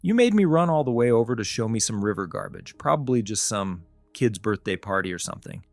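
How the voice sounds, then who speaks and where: A man's voice: lowish voice, animated, a little fast, dry recording. A man voicing a grumbling, dismissive teenage boy in an audiobook story. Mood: annoyed. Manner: complaining, dismissive.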